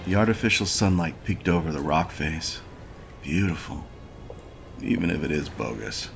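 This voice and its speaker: An actor playing a weary, wry noir handyman on a space station, narrating a point-and-click game in a laconic, folksy way with a gravelly voice. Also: very deep voice, normal speed, music in the background. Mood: wry, matter-of-fact.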